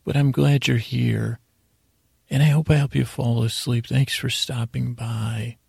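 A man hosting a bedtime podcast in a meandering, halting monologue meant to soothe, often interrupting himself, with a gravelly, husky voice. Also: mid-range voice, level tone, a little mellow. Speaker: podcast host.

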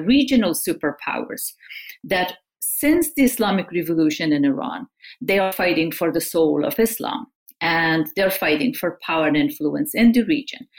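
Interview guest: a woman from Afghanistan with a low voice, measured and emphatic. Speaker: guest, journalist. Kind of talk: interview answers.

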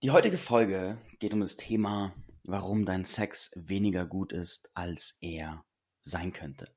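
A man with a low, husky voice that sounds a bit hoarse talks earnestly and reflectively to his listeners as a podcast host. He leans into words with emphatic repetition, and the rasp in his voice never quite clears. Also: slow pace, a little mellow. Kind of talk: solo podcast talk.

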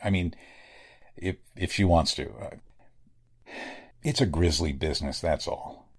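A man's voice: deep voice, slow pace, husky, exaggerated voice. A man acting a polite, evasive, grave morgue doctor in a 1940s-style radio drama, hesitant and formal. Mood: genial, dismissive. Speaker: actor in an audio drama.

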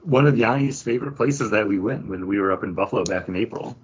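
A man's voice: lowish voice, fast, slightly rough. A man chatting casually as a podcast co-host. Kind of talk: casual chat. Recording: dull lo-fi podcast audio.

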